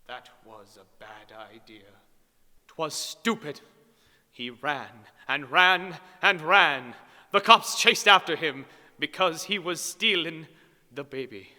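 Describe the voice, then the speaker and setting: A man reads a comic story as grand drama, slowly and theatrically. His voice is fairly high and piercingly bright, with long reverb from a hall. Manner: theatrical, with exaggerated pauses and swells. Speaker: story reader. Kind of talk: mock-serious dramatic reading of comic story.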